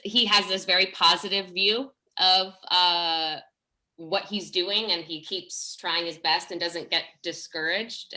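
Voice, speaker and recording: A woman's voice: lowish voice, bright, forceful tone. A woman speaking effusively and enthusiastically in a casual discussion, as a theater director and teacher.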